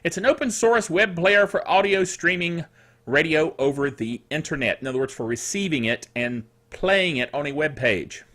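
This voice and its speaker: A man hosting a casual tech news show in a chatty, jokey, digressive way, with a throat that is getting rough. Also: on the high side, animated, normal speed, fairly bright tone. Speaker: technical director for radio stations. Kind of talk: casual scripted tech news commentary with asides.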